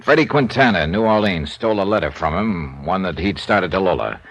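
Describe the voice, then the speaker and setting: An actor playing a tough, wry American insurance investigator in 1950s hardboiled radio drama, punchy and colloquial. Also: male voice, sits low, expressive, a little fast. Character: tough, wry American insurance investigator who narrates his own cases. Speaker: lead character and narrator. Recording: noisy old radio broadcast recording.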